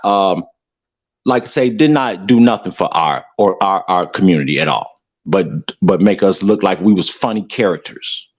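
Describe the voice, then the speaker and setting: A man from the South Side of Chicago calling in to give his opinion, talking in a halting way with many fillers and restarts. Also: medium pitch, darkish tone. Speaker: caller. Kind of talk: casual call-in opinion.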